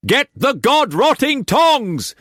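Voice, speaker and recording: A man voicing a desperate young man who shouts frantic orders through a storm. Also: sits high, very animated, a little slow, dry recording, studio quality, voice pushed up, full character voice.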